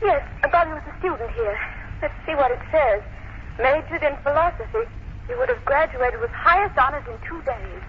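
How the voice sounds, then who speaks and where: A genteel, curious lady sleuth's companion in old radio acting. Her voice is very high and polished, on a dull recording with faint noise. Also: very animated, normal speed. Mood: troubled.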